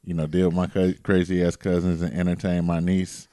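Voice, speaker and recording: A man co-hosting a podcast in casual chat, relaxed and slangy, teasing his co-host. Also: sits low, fairly quick, no room sound, clean studio sound.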